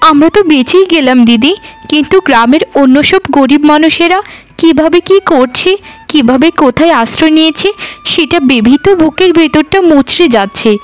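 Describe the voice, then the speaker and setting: A woman's voice: high-pitched, lively intonation, brisk, low-quality recording. A woman voicing an earnest, lamenting younger village sister-in-law in a cartoon. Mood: concerned. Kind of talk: Bengali cartoon dub acting.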